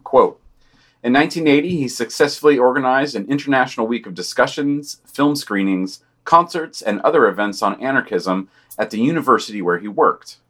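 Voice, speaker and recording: A man's voice: mid-range voice, fairly quick, fairly bright tone. Dry-toned man reading a podcast digest. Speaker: podcast co-host. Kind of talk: scripted news digest with quotes and dry asides.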